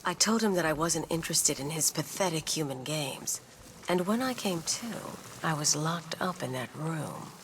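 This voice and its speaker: A woman's voice: deep voice, expressive, moderate pace, a little hiss or hum. A woman voicing an aloof, seductive supervillain who loves nature, in a languid, teasing, disdainful manner. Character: aloof, seductive female plant-loving supervillain.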